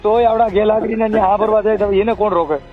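A man with a Kathiawadi Gujarati accent talks in a very high voice on a muffled phone call recording with music behind. He is emphatic and argumentative, driving his points home by repetition at a quick pace. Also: fairly even intonation. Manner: emphatic, argumentative, repeats phrases for stress. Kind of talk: opinionated phone conversation.

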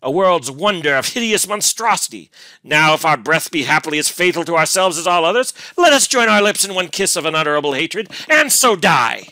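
A man's voice: a little high, sing-song, fairly quick, pushed and bright, dry recording, pitched above the performer's own voice, full character voice. A man voicing an enraged, scornful young lover in a gothic storybook, with archaic, declamatory, venomous speech. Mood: venomous rage. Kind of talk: dramatic gothic storybook reading.

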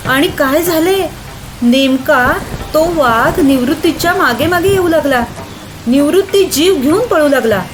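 A woman narrating a children's moral story in an expressive storytelling style. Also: high-pitched, unhurried, slight background noise.

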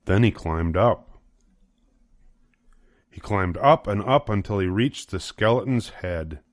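An older man with a low voice reads a children's storybook aloud. The recording is dry and close, with the narration carrying the story. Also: expressive, slow, dry recording. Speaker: storybook reader.

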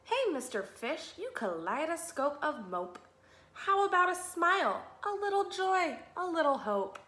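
Woman as a cheeky, high-voiced lady squid. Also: very animated, measured pace, bright, forceful tone, reverberant, heavily acted. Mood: cheeky coaxing. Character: impolite female squid, coaxing, cheeky storybook character. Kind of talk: children's storybook read-aloud.

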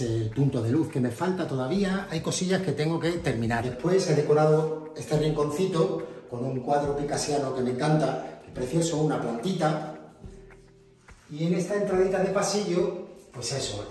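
A man's voice: fairly high pitch, a little mellow. A man in his forties with an accent from Spain, casually narrating a tour of his home as a vlogger. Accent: Spanish from Spain. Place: indoor apartment rooms and balcony. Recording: echoey rooms, music underneath. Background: background music.

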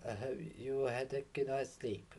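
A young man reading a children's picture book aloud in a halting way, stumbling over words now and then. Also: medium pitch, fairly even intonation, dark tone, slight background noise. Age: young adult. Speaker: bedtime story reader. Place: small room at home, indoors. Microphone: webcam or laptop mic at desk distance.

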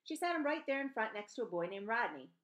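A woman's voice: fairly high pitch, very animated, fast, a bit bright. Woman reading a story aloud. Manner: acts out dialogue in changed voices. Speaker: reader of a children's novel. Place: small room at home, indoors. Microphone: webcam or laptop microphone at desk distance.

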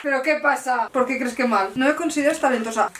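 A woman's voice: high-pitched, quick pace. A young woman with a Spanish accent from Spain, bantering casually with friends. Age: young adult. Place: small home room. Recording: roomy, distant, faint noise.

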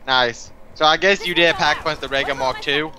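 A man's voice: fairly high pitch, fairly even intonation, normal speed, sharp tone. A young man playing a co-op zombie game with a friend, laid-back, giving short replies and laughing easily. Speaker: co-op gaming partner. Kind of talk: casual co-op gameplay chat.

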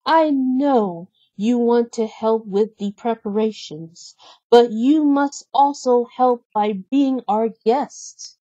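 A woman's voice: a little high, slow pace, dark tone, no room sound, muffled recording, pitched above the performer's own voice. A woman voicing a sweet, happy young bride princess in a storybook, gushing and earnest. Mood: warm. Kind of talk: storybook character reading.

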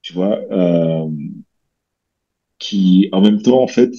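Deep husky man on a video call. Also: takes it slowly. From French Guiana. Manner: relaxed, rambling, many fillers and restarts. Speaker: guest, bodybuilder and coach. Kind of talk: casual interview chat. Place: small room at home, indoors. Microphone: headset on a video call. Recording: slightly dull video call audio.

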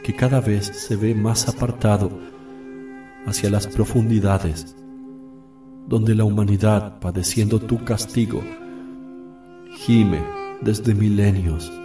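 An actor playing an anguished, solemn man praying sorrowfully to his divine Father in a radio drama, with long pauses. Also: fairly low pitch. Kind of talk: radio drama acting, slow prayerful monologue. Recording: distant, roomy, with loud music behind.

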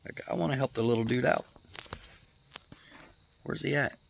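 A man's voice: mid-range voice, normal speed, creaky, slight background noise, rough recording. A middle-aged man filming himself in his backyard garden, talking casually and sounding amazed as he thinks aloud. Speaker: homesteader vlogger. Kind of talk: casual handheld vlog. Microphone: phone camera held close.

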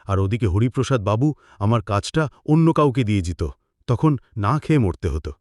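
A man's voice: medium pitch, expressive, brisk, a little mellow, no echo, clean studio sound, close to an everyday voice. An actor playing a poor, hard-working village fisherman father in a cartoon. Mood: weary, complaining. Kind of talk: cartoon voice acting.

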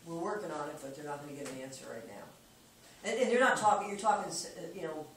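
A plain-spoken official talks through a report at a board table, circling back and restarting as they think. The sound comes from a camera across a big echoey room with a steady noise underneath. The voice sits at an ordinary middle pitch. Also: quick pace, recorded from a distance. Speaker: water department official giving a report. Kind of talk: casual meeting report. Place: meeting room around a conference table. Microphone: far camera.

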